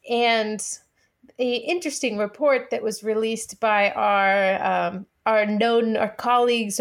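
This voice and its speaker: A woman co-hosting a podcast in casual chat, with a wry, playful way of talking. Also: a little high. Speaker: podcast co-host.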